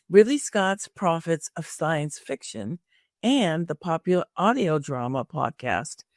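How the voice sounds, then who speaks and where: A woman's voice: sits low, a little mellow, no echo. Woman podcast host, very dry close sound. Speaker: podcast host and career coach. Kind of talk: scripted introduction and friendly interview chat.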